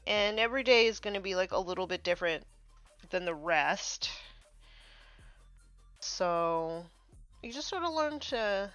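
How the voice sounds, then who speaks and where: A woman chatting casually on a painting live stream, a little congested from allergies, muttering soft asides as she works. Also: medium pitch, animated, measured pace, long pauses, soft background music. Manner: soft muttering asides, trailing off mid-task. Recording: slightly dull, dry sound.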